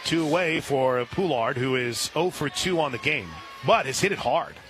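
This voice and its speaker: An American man calling live softball play-by-play on the radio from an outdoor ballpark. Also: medium pitch, moderate pace, soft background music. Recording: loud outdoor ballpark ambience.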